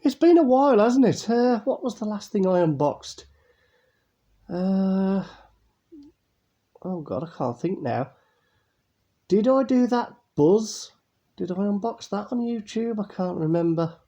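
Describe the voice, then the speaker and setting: A man's voice: sits high, sing-song, a little slow, pauses often, dark tone. A man from England, hosting a casual unboxing video, chatty and rambling with fillers, his voice nasal and congested from a cold. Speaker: YouTube unboxing host.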